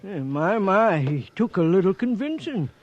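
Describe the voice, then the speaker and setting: A man playing a folksy, excitable old cowhand sidekick who repeats himself, in a 1950s radio Western. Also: sits high, moderate pace, highs cut off, heavy background noise. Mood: pleased, curious.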